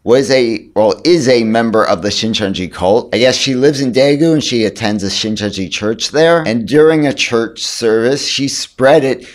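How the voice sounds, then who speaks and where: A man in his thirties with a hoarse voice, talking casually to camera as a vlogger and dropping dry, sarcastic asides. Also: mid-range voice, moderate pace, hardly any pauses. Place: indoors in front of a brick wall.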